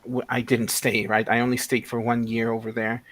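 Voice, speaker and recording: A man's voice: medium pitch, completely flat delivery, normal speed, a bit bright, dry recording. Hesitant man in his thirties, dry sound. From Mexico. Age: 30s. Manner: hesitant, many fillers and restarts. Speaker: guest. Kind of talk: casual interview conversation.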